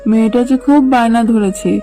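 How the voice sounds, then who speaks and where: A woman's voice: on the high side, a little fast, warm tone, faint music behind, close to an everyday voice. A woman voicing a poor, weary but caring fox mother in a cartoon, plaintive and motherly. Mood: anxious, pleading. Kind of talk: cartoon dubbing.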